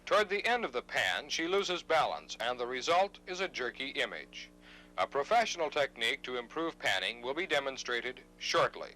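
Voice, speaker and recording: A man's voice: mid-range voice, very animated, normal speed, pushed and bright, a little hiss or hum, rough recording. A man reading scripted instructional narration in a formal, precise manner, as on a 1970s training videotape.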